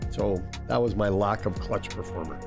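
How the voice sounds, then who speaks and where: A man's voice: on the low side, normal speed, creaky, music in the background. A man co-hosting a radio sports show, talking casually in a studio.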